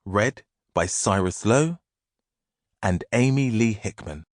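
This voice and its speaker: A man's voice: fairly low pitch, lively intonation, slow, dry recording, clean studio sound. A man reading scripted audiobook title and credit announcements.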